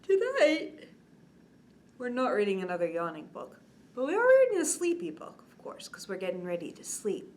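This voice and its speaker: A woman in her forties hosting a children's bedtime story time, expressive, drawing out words and often dropping to a hushed, whispery tone. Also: on the high side, normal speed. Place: indoors, small curtained set. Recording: clear background noise.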